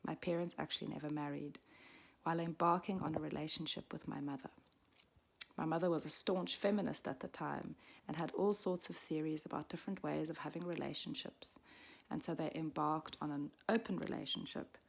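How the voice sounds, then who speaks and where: A woman in her forties, raised in Switzerland, reading a personal story aloud in a soft, reflective way that often drops to a half-whisper. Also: low voice, fairly even intonation, fairly quick, gravelly. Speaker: midwife and storyteller.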